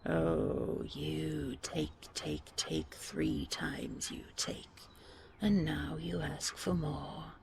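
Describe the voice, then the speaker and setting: A towering storybook ogre, acted out by a woman, snarls with menace. The voice is heavily gravelly and breathy, drawn out slowly as it issues imperious commands. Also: deep voice, animated, full character voice. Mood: mocking, scornful. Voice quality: growling, hissing, breathy. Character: towering menacing male ogre guarding plum trees.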